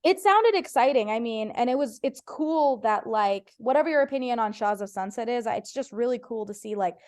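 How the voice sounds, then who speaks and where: Chatty woman guest telling animated stories. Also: fairly high pitch, brisk. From United States (Ohio). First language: Farsi. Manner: chatty, animated storytelling with playful voiced quotes. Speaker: guest comedian. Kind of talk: casual podcast interview.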